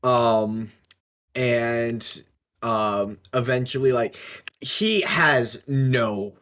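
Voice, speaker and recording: A man co-hosting a casual tabletop gaming discussion, speaking haltingly as he searches for words. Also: medium pitch, fairly bright tone. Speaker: podcast co-host.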